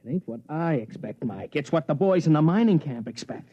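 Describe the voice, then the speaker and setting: An actor playing an excitable male sidekick in a swindle in a 1950s radio drama, talking in eager, jumpy slang. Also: fairly high pitch, a little fast, slight background noise, lightly acted. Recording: dry, dull vintage radio recording.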